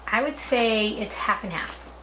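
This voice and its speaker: A young woman, born in Brazil, answers interview questions in a casual, thoughtful way, leaning on "like" and "you know." Her voice sits mid-range. The recording sounds roomy, with a clear layer of background noise. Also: medium pitch, animated, unhurried, fairly bright tone. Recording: echoey room with background noise.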